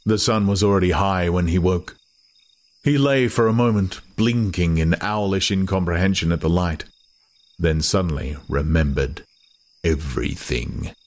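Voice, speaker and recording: A man's voice: low-pitched, normal speed. A man reading literary fiction as a scripted, dramatic audiobook narration, with noise behind him.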